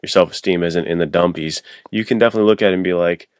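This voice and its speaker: A man with a low, deep voice co-hosts a podcast in a casual chat. His talk is informal and slangy, slipping into imagined quotes, and the sound is close and dry. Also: racing, smooth, no echo. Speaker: podcast co-host.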